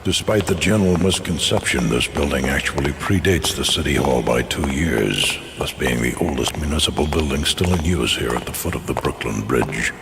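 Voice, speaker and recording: An actor playing a secretive old man of influence, speaking in a formal, measured, courteous manner. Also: extremely low pitch, lively intonation. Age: older. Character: old, formal, secretive male power broker. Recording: noisy background ambience.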